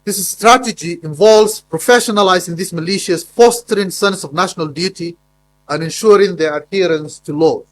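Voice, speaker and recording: A man's voice: high-pitched, normal speed. Emphatic man giving a conference talk. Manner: emphatic, with frequent fillers and repeated words. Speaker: conference speaker. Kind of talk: formal conference presentation. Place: conference hall.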